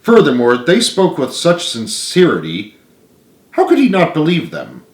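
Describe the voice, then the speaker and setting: A man's voice: fairly high pitch, huge pitch swings, unhurried. A man reading his own adventure story aloud as a dramatic, earnest narrator. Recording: roomy, echoey home recording.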